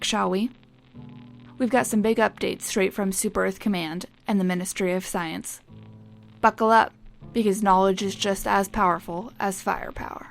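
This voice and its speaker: A woman's voice: medium pitch, creaky, natural voice. A woman playing a cheeky wartime tech correspondent giving a chatty, punchy, wry scripted radio update. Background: faint music.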